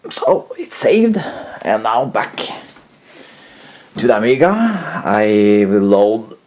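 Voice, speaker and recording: Halting low-voiced man with lively, swinging pitch. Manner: halting, hesitant, searching for words. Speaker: host of a retro computer channel. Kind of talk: casual unscripted tech demonstration.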